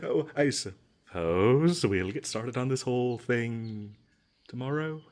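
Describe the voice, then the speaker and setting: A man playing a nervous captured adventurer in a comedic fantasy roleplay, polite and halting, trying to bluff and placate. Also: medium pitch, lively intonation, darkish tone. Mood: sheepish, placating.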